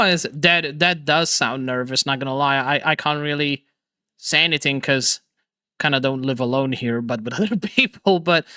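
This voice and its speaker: Man, streamer host, casual chat, energetic, laughs a lot, bright tense voice, dry sound. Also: on the high side, dry recording. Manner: energetic, laughs often, stumbles and restarts.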